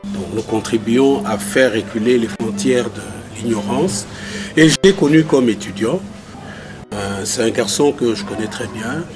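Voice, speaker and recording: A man in his fifties from Kinshasa, a teacher speaking about a former student in a measured way with many pauses. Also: mid-range voice, over music. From Kinshasa, Congo. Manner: measured, with pauses and set phrases. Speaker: teacher giving a testimonial. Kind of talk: testimonial interview. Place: outdoors in a garden.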